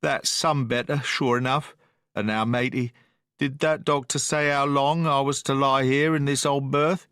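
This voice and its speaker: A man voicing an old drunken sea captain, feeble but blustering, who wheedles and talks in rough sailor's slang. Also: mid-range voice, fairly even intonation, measured pace, fairly bright tone, no echo, clean studio sound, pitched above the performer's own voice, close to an everyday voice.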